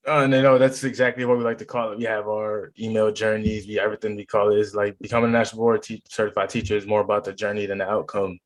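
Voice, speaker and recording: A man with a fairly low voice hosts an interview podcast. His questions are friendly but loose, and he often rephrases and corrects himself as he goes. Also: little pitch movement, fast, hardly any pauses. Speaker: podcast host.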